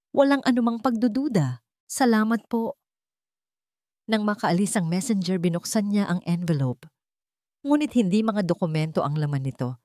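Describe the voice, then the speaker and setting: A woman's voice: lowish voice, dark tone, no room sound, studio quality. A woman storyteller giving dramatic scripted narration of a romantic story.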